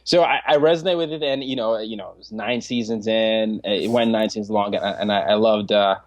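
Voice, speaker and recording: A man in his twenties, a podcast guest, talking in an animated way, laughing often and restarting his sentences. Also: lowish voice, a little fast, muffled recording. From Nigeria. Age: 20s. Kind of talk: casual interview chat.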